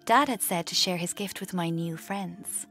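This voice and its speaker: An actress playing a watchful woman in her twenties, narrating her own story in a confiding, measured, wry way for a radio drama. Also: fairly low pitch, fairly bright tone, faint music behind. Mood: wry, secretive. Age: 20s.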